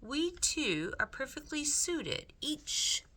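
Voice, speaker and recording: A woman's voice: high voice, big pitch swings, slow, bright, forceful tone, voice pushed up, heavily acted. A woman reading a storybook aloud, voicing a suave, scheming male rat with theatrical, formal, ingratiating manners. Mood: persuasive, scheming. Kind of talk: storybook character read aloud.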